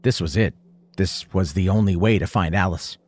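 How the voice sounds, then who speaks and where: A man's voice: deep voice, normal speed, darkish tone. A man narrating a scripted first-person horror story in short, suspenseful, dramatic phrases.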